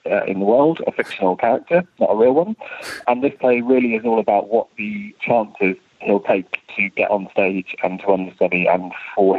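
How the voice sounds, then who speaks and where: A lowish-voiced man speaks articulately in an interview, laying things out like a story. He sounds like he is on a narrow, tinny phone line with a dry sound. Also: no room sound. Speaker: theatre artistic director and playwright being interviewed. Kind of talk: informal interview, explaining a play.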